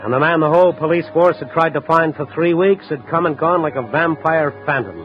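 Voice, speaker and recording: An American actor playing a reflective, hardboiled night-beat newspaper reporter in a 1950s radio drama. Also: male voice, a little high, brisk, close to an everyday voice. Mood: wry, somber. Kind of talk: 1950s radio drama acting, first-person noir narration. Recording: muffled vintage radio recording with music.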